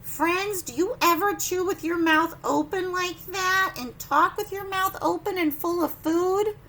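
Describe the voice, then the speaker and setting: A woman teacher doing an animated story time for young children, sing-song and exclaiming, often putting questions to them. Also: very high voice, measured pace.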